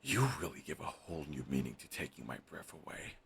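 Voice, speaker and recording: A man's voice: extremely low pitch, expressive, fairly quick, vocal fry, a little hiss or hum, exaggerated voice. A young man playing a bitter, fiercely devoted male villain in an audio roleplay, talking in an intense, raw way and swearing often. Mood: bitter, defensive.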